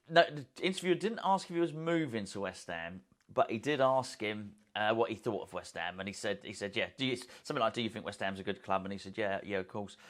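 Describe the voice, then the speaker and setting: A man vlogging in a casual, rambling way from a hotel room, with self-mocking asides. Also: mid-range voice. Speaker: football fan vlogger. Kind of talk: casual chat to camera.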